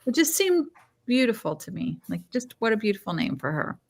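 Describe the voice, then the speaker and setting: A woman's voice: a little high, big pitch swings, a little fast, vocal fry. A woman co-hosting a casual book club chat over a video call, talking in a gushing, conversational way. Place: live online video call.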